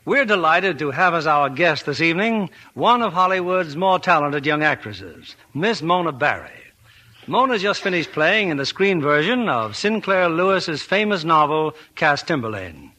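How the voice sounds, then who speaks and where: A man's voice: fairly high pitch, expressive, moderate pace, sharp tone. A man hosting a scripted 1940s radio interview in a formal, polished manner. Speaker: radio host.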